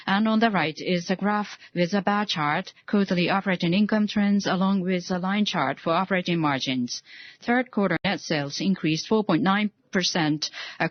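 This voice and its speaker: Halting woman presenter on dull conference audio. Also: lowish voice, no echo. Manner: halting delivery with frequent hesitations. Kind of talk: formal financial results presentation.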